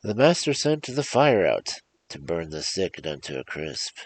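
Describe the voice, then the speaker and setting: A man with a gravelly, husky voice hosting a storytelling show, reading fairy tales in a theatrical, emphatic, rhythmic way. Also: low voice, very animated, unhurried. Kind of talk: animated performed fairy-tale reading with casual asides.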